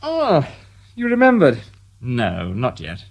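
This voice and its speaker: A dry, wry police inspector. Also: male voice, medium pitch, huge pitch swings, takes it slowly, exaggerated voice. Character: weary, dry-witted male police detective inspector. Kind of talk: radio drama acting.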